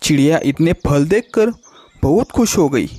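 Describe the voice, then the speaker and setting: A man's voice: a little high, animated, measured pace, darkish tone, dry recording. A man narrating a children's bird story in a scripted storytelling style.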